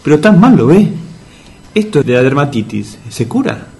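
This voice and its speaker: An actor playing a worried, loyal sidekick with a colloquial Argentine accent in a comedy sketch. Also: male voice, fairly high pitch, sing-song, normal speed, dark tone, soft background music. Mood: puzzled, worried. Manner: colloquial, fretting. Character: La Torca, male worried loyal sidekick friend.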